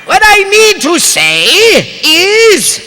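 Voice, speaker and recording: A man voicing a nervous, awkward young man declaring love, halting and overexcited, in a live comic script reading. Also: very high-pitched, huge pitch swings, bright, forceful tone, in a large hall, some chatter behind, full character voice. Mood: flustered, lovestruck.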